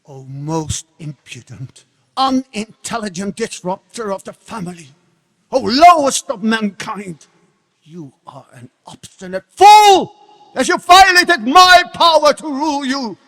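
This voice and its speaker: Tyrant demon king: theatrical, imperious, wrathful, very high and volatile, in a reverberant hall. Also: lively intonation, a little slow, exaggerated voice. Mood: furious, menacing. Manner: imperious, theatrical, swinging from menacing hush to shouts. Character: enraged tyrannical demon king, arrogant father. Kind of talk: dramatic scripture reading on stage.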